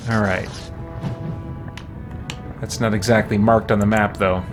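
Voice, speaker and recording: A man giving casual live gameplay commentary, informal and halting as he thinks aloud. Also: on the low side, flat delivery, music in the background. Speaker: streamer playing a video game.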